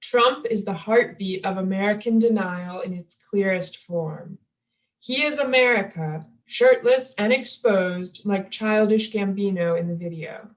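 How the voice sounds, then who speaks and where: A woman's voice: mid-range voice, a little slow. A woman reads a written essay aloud. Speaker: reader at a table reading. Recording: distant from the microphone.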